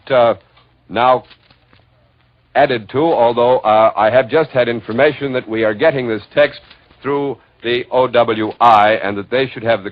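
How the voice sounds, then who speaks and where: A man's voice: mid-range voice, dry recording. An American man, a radio news announcer delivering a 1940s bulletin and commentary in a grave, formal, oratorical manner. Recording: dull 1940s studio radio recording.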